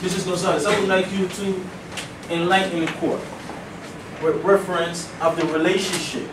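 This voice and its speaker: A man speaking as a prosecutor in a mock trial, delivering a formal statement in a deliberate, halting way. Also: sits high, little pitch movement, recorded from a distance. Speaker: law student acting as prosecutor. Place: echoey indoor room, distant microphone, noise behind. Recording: echoey room from afar, noisy.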